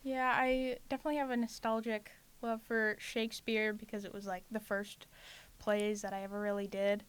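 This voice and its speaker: Young female student, smooth clear voice, informal, slightly tentative, casual interview answers. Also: medium pitch, level tone, moderate pace. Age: young adult. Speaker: high school senior, student actor guest.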